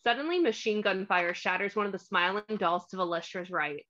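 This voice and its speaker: A woman's voice: mid-range voice, fairly quick, bright, forceful tone. A woman reading scripted stage directions as narrator of a screenplay table read, on a slightly dull microphone.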